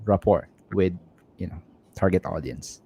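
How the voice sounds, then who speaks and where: A man's voice: low-pitched, measured pace, dark tone, breathy edge. A man hosting a livestream interview, talking casually with repeated words and fillers like "you know". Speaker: livestream host.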